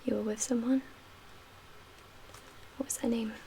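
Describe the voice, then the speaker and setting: A woman playing a calm survivor camp leader in a soft-spoken ASMR roleplay, with a breathy, whispery voice and a gentle, measured, hushed manner. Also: on the high side. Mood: soothing. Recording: clear background ambient noise.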